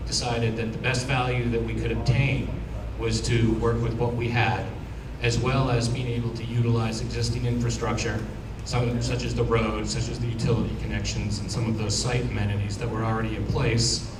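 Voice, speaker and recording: A man answering a question at a public building meeting in a measured, matter-of-fact way. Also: medium pitch, level tone. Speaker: building project team member. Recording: echoey room, far from mic, noise.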